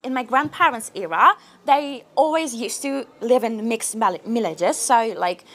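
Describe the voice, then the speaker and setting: A woman's voice: on the high side, lively intonation, a little slow, a bit bright. A woman, a student speaking openly about her home in a street interview. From Northern Cyprus. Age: 20s.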